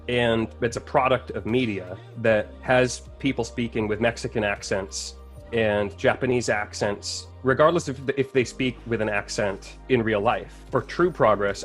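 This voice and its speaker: A man giving an earnest, articulate answer as a guest in a panel discussion. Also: fairly low pitch. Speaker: talk-show guest.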